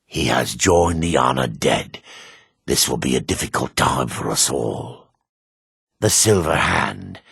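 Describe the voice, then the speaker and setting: Very deep, gravelly dark elf warrior. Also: male voice, animated, slow pace, dry recording, clean studio sound, full character voice. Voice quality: gravelly, husky. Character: grim, weathered male dark elf warrior. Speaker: video game voice actor. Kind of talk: fantasy game character lines.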